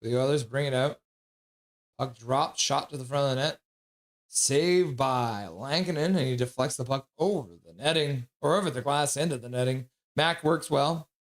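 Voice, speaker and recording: A man hosting a live stream, calling the action in casual play-by-play and reading chat messages aloud. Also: medium pitch. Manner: reads chat messages aloud and chats back; quick, offhand running description of the action. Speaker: livestream host.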